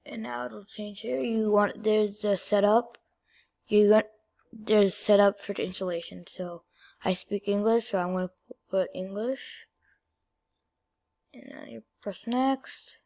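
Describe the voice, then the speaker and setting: A female-sounding speaker giving a casual, halting step-by-step software tutorial as a screen-recording voice-over. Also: medium pitch, vocal fry. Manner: informal, halting step-by-step instructions. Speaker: video creator giving a tutorial.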